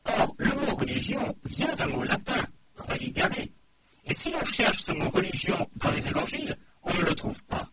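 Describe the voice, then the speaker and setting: A middle-aged man preaching a sermon in a measured, explanatory way, often quoting other voices. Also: very high voice, huge pitch swings. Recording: loud background noise.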